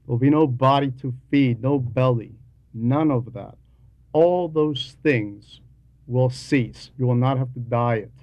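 A man preaches a homily at a slow, measured pace. His delivery is deliberate and emphatic, leaning on repeated phrases as he teaches. His voice sits at a medium pitch in a dry, close sound. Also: no echo, dull audio. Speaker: homilist reading a translated homily.

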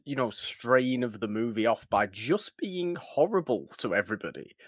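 A man's voice: mid-range voice, very animated, moderate pace, no echo, clean studio sound. A man co-hosting a casual film discussion, rambling a little and searching for words as he goes. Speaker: podcast co-host discussing films.

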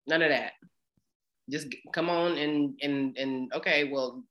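A woman in her late thirties chatting candidly and laughing often, telling animated stories in a relaxed conversation. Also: deep voice, measured pace. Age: 30s. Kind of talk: casual chat.

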